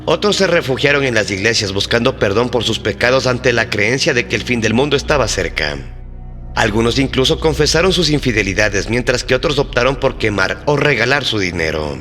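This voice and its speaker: A man hosts and narrates a scripted mystery documentary. His mid-pitched voice tells the story in a formal, measured, dramatic way, and music plays clearly underneath. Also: expressive, bright, forceful tone. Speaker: narrator and host of a mystery channel.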